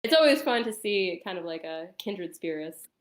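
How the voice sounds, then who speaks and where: A woman's voice: on the low side, expressive, normal speed, reverberant. A woman speaking casually as an interview guest on a livestream.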